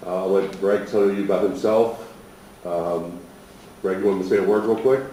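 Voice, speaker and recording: A man's voice: deep voice, fairly even intonation, moderate pace, dark tone. An American man giving an informal presentation as an instructor, plain-spoken and self-deprecating. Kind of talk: informal presentation at a programme opening. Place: echoey indoor presentation room. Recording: echoey room, distant mic, faint noise.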